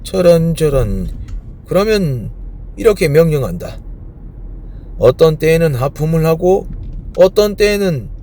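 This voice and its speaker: A man's voice: a little high, big pitch swings, dark tone, a little hiss or hum, pitched above the performer's own voice. A man voicing a proud, good-natured storybook king who issues pompous commands. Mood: pompous, commanding. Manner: pompous, commanding, drawn-out decrees.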